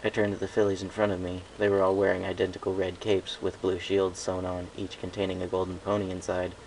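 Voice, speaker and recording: Guy reading fan fiction out loud, low and gravelly, bit of noise on the recording. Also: monotone, fairly quick. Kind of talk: scripted first-person story narration. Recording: noisy home recording.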